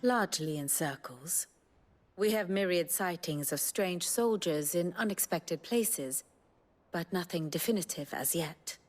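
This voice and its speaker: An actress with an English accent playing a poised, dry fantasy strategist in a game, formal and composed. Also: female voice, lowish voice, expressive, a little slow, faint music behind. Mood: frustrated, composed. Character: poised, dryly teasing female fantasy strategist. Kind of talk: video game drama acting.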